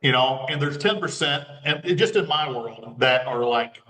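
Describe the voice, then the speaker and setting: A man's voice: medium pitch, moderate pace, pushed and bright. A man talking as a guest consultant in a casual interview, rambling and often restarting his sentences. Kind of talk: casual conversation. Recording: roomy sound, mic at a distance.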